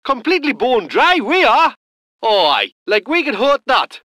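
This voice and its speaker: A man voicing a gruff, salty self-propelled gun crewman, delivering game unit lines. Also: extremely high pitch, huge pitch swings, unhurried, pushed and bright, no room sound, exaggerated voice. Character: gruff northern British male gun crewman. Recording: dry close studio recording.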